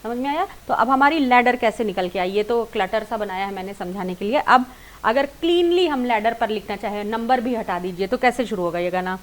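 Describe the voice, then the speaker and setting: A woman's voice: a little high, animated, brisk. A woman giving a singing lesson, explaining step by step and checking understanding with questions. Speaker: singing teacher.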